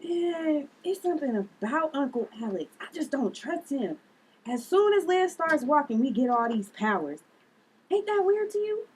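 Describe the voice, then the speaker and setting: A woman playing a guarded, reluctant sister with superpowers in a table read, in a husky voice. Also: high-pitched, very animated, normal speed, a little mellow, low-quality recording. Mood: suspicious.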